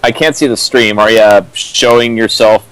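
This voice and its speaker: A man's voice: on the low side, steady tone, normal speed, fairly bright tone, smooth, rough recording. A man co-hosting a streamed reading, mixing read-out passages with mocking commentary. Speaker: co-host of a fan fiction reading stream.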